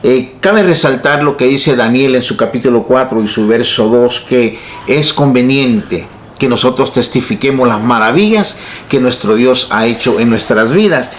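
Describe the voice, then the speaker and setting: A man's voice: mid-range voice, normal speed. A Salvadoran-accented man in his sixties, a pastor telling his life story in an interview. He jokes at his own expense and laughs along. His voice rings with the echo of the room. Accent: Salvadoran Spanish. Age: 60s. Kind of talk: personal testimony in an interview.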